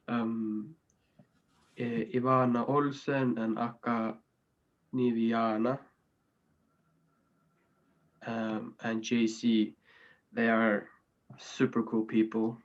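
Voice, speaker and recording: A young man from Norway talks slowly and haltingly, often restarting his sentences. His voice has a medium pitch. The sound comes over a slightly dull video call line. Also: steady tone. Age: young adult. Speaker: youth panelist.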